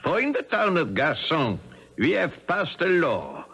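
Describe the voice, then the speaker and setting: Stern village headman in old radio drama, slow n formal, bright forceful voice. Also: a little high, wildly swooping pitch, husky, muffled recording, heavily acted. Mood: stern, accusing. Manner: formal, deliberate, solemn. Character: stern French village leader presiding over wartime trial. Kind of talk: 1970s radio drama acting.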